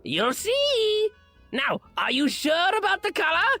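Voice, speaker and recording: A woman voicing a brash, excitable, mischievous village shopworker in a fan audio drama. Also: very high-pitched, takes it slowly, soft background music, heavily acted. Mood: gleeful, excited. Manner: exclaiming, animated. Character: bold, mischievous village dye-shop worker, brash and excitable.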